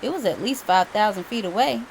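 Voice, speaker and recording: A woman's voice: mid-range voice, animated, brisk, creaky, slight background noise, rough recording. A woman voicing an easygoing, reassuring father bear in a storybook, explaining things matter-of-factly.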